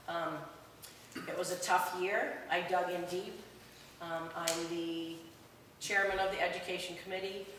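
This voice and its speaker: A woman running for a school board seat, speaking without a microphone at a public meeting and pausing often on filler sounds. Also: lowish voice, a bit bright. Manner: hesitant, many um fillers. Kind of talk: campaign speech at a public meeting. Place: large echoey public hall. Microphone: no microphone, far from the recorder. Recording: roomy hall echo, distant and noisy.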